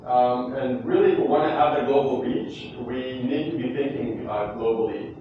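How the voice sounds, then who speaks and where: A man's voice: mid-range voice, normal speed. A man giving closing remarks at a conference, speaking hesitantly and searching for words. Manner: hesitant, many fillers and restarts. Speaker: conference co-presenter. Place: large conference hall. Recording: echoey hall, distant, muffled, background noise.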